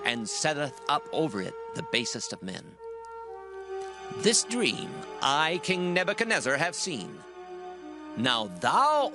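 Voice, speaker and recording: An actor playing a proud, majestic king of ancient Babylon in a dramatized scripture reading, with grand, declamatory delivery. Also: a little high. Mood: troubled, commanding. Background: music.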